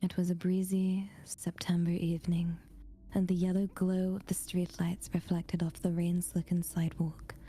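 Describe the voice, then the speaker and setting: A woman reading as a student narrator of a scary first-person story in a breathy ASMR whisper. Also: fairly low pitch, completely flat delivery, normal speed, mellow, pitched below the performer's own voice, close to an everyday voice. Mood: hushed, eerie.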